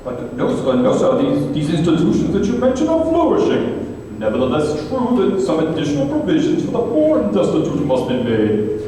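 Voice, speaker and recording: An actor playing a polite, earnest gentleman charity collector in a radio play, courteous and a little hesitant. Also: a little high, wildly swooping pitch, moderate pace. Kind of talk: old-time radio play acting. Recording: distant echoey hall, noticeable hiss.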